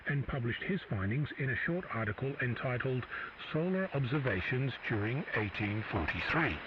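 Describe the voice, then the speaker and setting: Male radio presenter, measured explanatory read, lively pitch, muffled static-heavy shortwave sound. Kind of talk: scripted educational narration.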